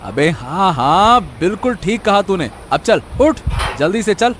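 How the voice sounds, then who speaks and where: A man voicing a bossy, scheming, foolishly hopeful villager who exclaims theatrically in a comic animated story. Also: high voice, unhurried, slight background noise, heavily acted. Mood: impatient.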